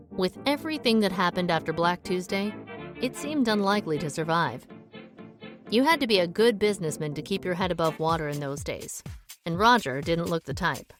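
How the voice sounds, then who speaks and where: A woman's voice: mid-range voice, fairly bright tone, natural voice. An actress playing a shrewd, world-weary 1920s woman sleuth in an audio drama, knowing and matter-of-fact. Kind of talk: audio drama dialogue and first-person narration.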